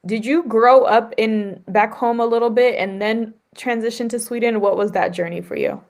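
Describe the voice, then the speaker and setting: A woman hosting an interview podcast. She talks in a lively, conversational way and often adds "like" and "right?". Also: a little high, moderate pace. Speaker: podcast host.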